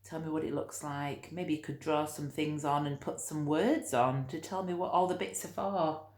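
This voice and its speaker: A woman teacher reading a storybook to children, expressive, breaking off to put questions straight to her young listeners. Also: low-pitched, moderate pace, roomy sound. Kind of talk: animated children's storytelling with friendly asides.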